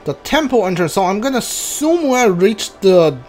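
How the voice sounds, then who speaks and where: A man's voice: high-pitched, sing-song, normal speed, darkish tone. Male gaming streamer, casual commentary, rambling, thinking aloud, background music. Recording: game music behind the voice.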